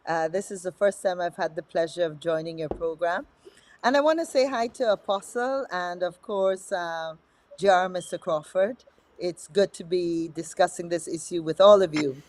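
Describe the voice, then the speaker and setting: A woman's voice: lowish voice, darkish tone. A woman in her fifties, a politician guest talking in a friendly, conversational way with frequent fillers. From Guyana. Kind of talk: studio interview.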